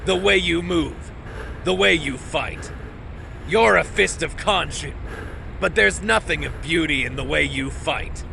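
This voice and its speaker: A man's voice: on the high side, unhurried, vocal fry, bright, forceful tone, a little hiss or hum, full character voice. A man voicing a cold, condescending doctor-zealot in a fan-made motion comic, who talks in a clipped, lecturing way. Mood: disdainful. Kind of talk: fan dub voice acting.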